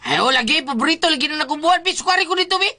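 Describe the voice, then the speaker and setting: A man's voice: very high-pitched, lively intonation, rapid-fire, sharp tone, heavily acted. A man playing a long-suffering, grumbling husband in a radio drama, with a Cebuano Filipino accent and an exasperated way of talking. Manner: exasperated, complaining.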